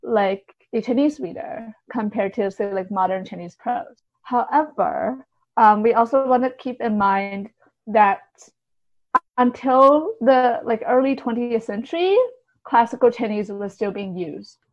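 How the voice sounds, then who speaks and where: A woman's voice: a little high, unhurried. Woman interviewee, dull lo-fi audio. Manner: explanatory, hedging with many softeners. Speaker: guest literary translator. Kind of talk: interview conversation.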